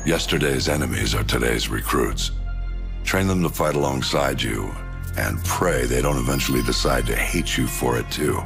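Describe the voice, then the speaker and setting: A weary, cynical old-guard American general, narrates a military game cutscene. His voice is extremely low and heavy with gravel. He speaks in slow, brooding, measured lines over music. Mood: grim, cynical. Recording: music bed under the voice.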